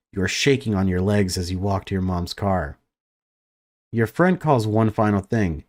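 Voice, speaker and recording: Gamer man, low voice, reads the game text aloud, jumps into surprised outbursts. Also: a little mellow. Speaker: let's play gamer. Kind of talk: reading a visual novel aloud with reactions.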